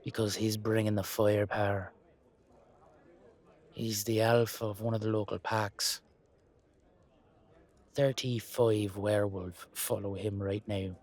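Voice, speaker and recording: A man's voice: fairly low pitch, level tone, unhurried, long pauses, darkish tone, natural voice. A man voicing a level-headed leprechaun peacemaker with matey, colloquial speech in an audio roleplay. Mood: persuasive.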